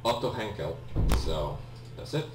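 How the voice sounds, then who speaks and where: Low-voiced young man with a Polish accent. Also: expressive, a little slow, over music. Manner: hesitant, many ums and restarts, apologetic. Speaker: let's play gamer. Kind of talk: casual gameplay commentary. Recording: echoey room, distant mic, faint hum.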